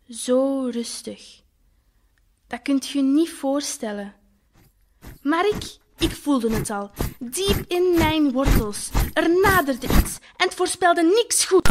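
Woman playing a high-voiced, wistful little Flemish flower. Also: heavy background noise. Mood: wistful, tender. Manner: colloquial, expressive, lingering over words. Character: talking poppy flower, wistful, naive, female storyteller of war. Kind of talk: dramatic audio monologue.

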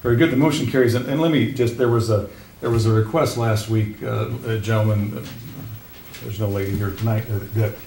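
A man in his sixties, a mayor chairing a city council meeting in a casual, halting way with restarts and fillers. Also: fairly low pitch, fairly even intonation, vocal fry, a little mellow. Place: council chamber. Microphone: desk microphone. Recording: roomy chamber, faint noise.

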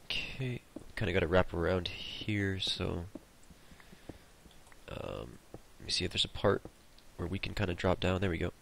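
A man's voice: sits low, fairly even intonation, moderate pace, gravelly, a bit bright, a little hiss or hum. A young man hosting a casual co-op gameplay session, rambling and joking with mild swearing. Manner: rambling, joking, mildly profane. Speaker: gaming channel host.

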